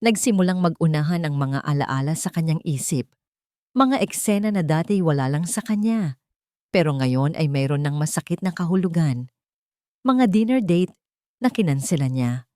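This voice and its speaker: Low-pitched woman narrating drama. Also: lively intonation, moderate pace, a little mellow, dry recording, clean studio sound. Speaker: audiobook narrator.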